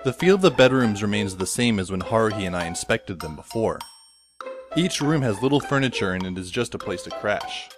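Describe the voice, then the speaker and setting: A man plays a resigned teenage schoolboy narrating an audio drama in a fairly low voice. He is dry and deadpan, with music playing clearly behind him. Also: a little fast, natural voice. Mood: wry, measured. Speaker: narrator and lead character. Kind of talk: first-person scripted audio drama narration. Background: instrumental music.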